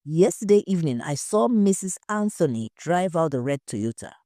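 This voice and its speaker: A woman voicing a solemn male neighbour who gives deliberate eyewitness testimony in an audio story. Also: lowish voice, expressive, dark tone, no room sound, clean studio sound, lightly acted. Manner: deliberate, announcing.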